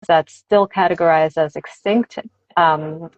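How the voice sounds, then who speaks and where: A woman whose first language is Spanish speaks in a slightly low voice, explaining things in a conversational, teacherly way. She is reflective and keen on her subject. The sound is dry and a little dull, like a livestream. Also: steady tone, measured pace, no room sound. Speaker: language teacher and advocate, interview guest. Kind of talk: conversational explaining in a live interview. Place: dry close room on a video livestream.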